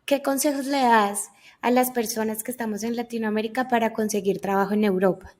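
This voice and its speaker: Woman host with a fairly high voice. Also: fairly even intonation, a little fast, a little mellow. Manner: friendly, encouraging, asks follow-ups. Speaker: interview host. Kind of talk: casual interview questions.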